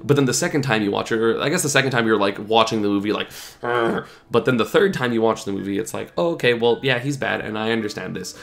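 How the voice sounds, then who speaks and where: A man's voice: fairly low pitch, fast talker, vocal fry, few pauses, fairly bright tone. A young man talking casually and rambling to camera, with lots of fillers, sniffling and a bit sick. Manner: rambling, filler-heavy, chatty. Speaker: gaming YouTuber. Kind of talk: casual opinion vlog.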